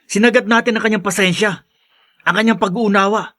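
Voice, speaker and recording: A man's voice: sits high, fairly even intonation, a little fast, pushed and bright, dry recording. A man playing a protective, reproachful young adult brother with a Filipino accent in a radio drama. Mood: earnest reproach.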